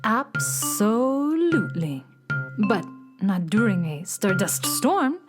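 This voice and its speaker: A beatnik cat girl from the moon played by a woman drawls her hipster slang at an unhurried pace. Her pitch slides and swoops with lazy cool, over music in a children's radio drama. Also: sing-song. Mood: cool, unbothered. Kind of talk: children's radio theater acting.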